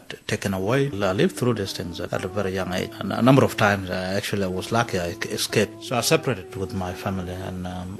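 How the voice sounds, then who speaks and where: A man from South Sudan tells his story slowly and deliberately. He pauses often between phrases, and his voice sits on the low side. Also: creaky. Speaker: interviewee. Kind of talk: measured personal account.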